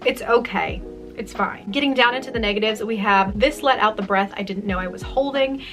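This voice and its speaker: A woman's voice: mid-range voice, fairly quick, soft background music. Chatty, self-deprecating young woman vlogger. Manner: self-deprecating, jokey, animated asides. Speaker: author and video host. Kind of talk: casual chatty vlog to camera.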